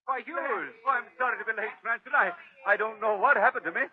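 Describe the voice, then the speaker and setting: A man's voice: sits high, heavy background noise, natural voice. An actor playing a dazed, apologetic man who stammers in flustered confusion, in 1930s radio drama style. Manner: halting, stammering, breathlessly flustered. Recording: dull, lo-fi 1930s radio recording.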